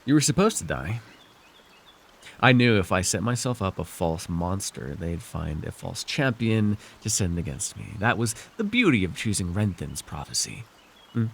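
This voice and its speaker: A man voicing a scheming, weary villain lord in an immersive fantasy ASMR roleplay, wry and persuasive, speaking theatrically with halting, musing pauses and sighs. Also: low voice, very animated, gravelly, a little hiss or hum, heavily acted. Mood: weary, brooding. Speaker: voice actor.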